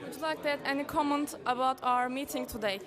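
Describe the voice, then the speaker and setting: A young woman works through her list of interview questions, polite and formal, with slightly non-native phrasing. Her voice is fairly high. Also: quick pace, crowd noise. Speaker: student interviewer.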